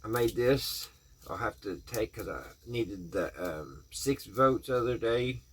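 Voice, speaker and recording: Low-voiced man tinkering aloud over background noise. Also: measured pace, a bit bright. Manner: folksy, thinking aloud. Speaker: vlog host. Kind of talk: casual hands-on vlog chat, talking to viewers while tinkering.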